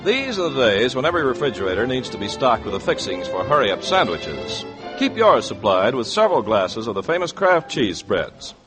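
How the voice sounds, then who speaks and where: A man with an American accent reads scripted advertising on 1940s radio, with a polished salesman's delivery and deliberate emphasis, in a vintage broadcast recording. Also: medium pitch, very animated, over music. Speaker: radio announcer.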